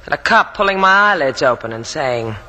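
A woman's voice: extremely low pitch, expressive, fairly quick, pushed and bright, long reverb tail, full character voice, rough recording. A woman playing a tough, spirited, wry young woman in trouble, in dramatic old-time radio style. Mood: shaken, wry. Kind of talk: 1940s-50s radio adventure acting.

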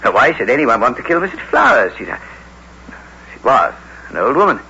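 Male, urbane dismissive pub landlord, radio play, animated pitch, dull vintage recording. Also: fairly quick, slight background noise. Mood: polite, guarded. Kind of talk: old-time radio drama acting.

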